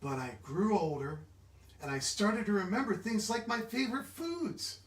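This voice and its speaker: A man giving an animated, expressive children's storybook read-aloud, engaging his listeners directly. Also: high voice, normal speed. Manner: expressive, interactive, asks listeners questions. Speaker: children's book reader. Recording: echoey room, distant mic.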